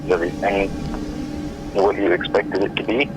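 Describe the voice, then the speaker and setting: A man plays a lonely college-age son in a fiction podcast. His voice is very deep and he talks haltingly, subdued and trailing off with worry. Music sits clearly behind him. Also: little pitch movement, natural voice, rough recording. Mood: worried, hesitant.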